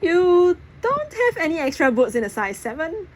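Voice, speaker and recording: A woman voicing an anxious, guilty teenage girl sailor in audiobook dialogue, somewhat halting. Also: extremely high pitch, sing-song, pitched above the performer's own voice. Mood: surprised, grateful.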